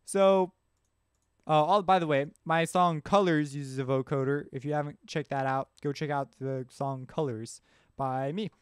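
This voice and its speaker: A man hosting a casual music production tutorial, explaining step by step as he demonstrates the software. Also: fairly high pitch, fairly even intonation, a little slow, smooth, no echo, studio quality.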